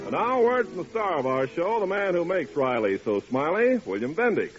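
A man's voice: fairly high pitch, big pitch swings, moderate pace, over loud music. A man announcing on 1940s radio, with polished, emphatic commercial salesmanship. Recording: muffled, hissy 1940s radio recording.

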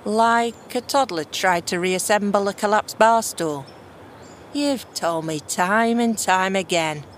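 A woman's voice: medium pitch, takes it slowly, a little hiss or hum, lightly acted. A woman playing a bitter, defiant runaway daughter of a monarch in a fantasy audio drama, sarcastic and blunt, with slangy complaints.

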